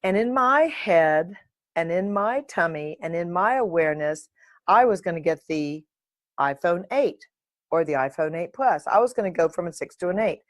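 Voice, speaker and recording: Woman, podcast host, playful, lively, uninhibited chat, dry close sound. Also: on the low side, moderate pace, no echo. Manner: playful, animated, sings and makes silly sounds; uninhibited, swears.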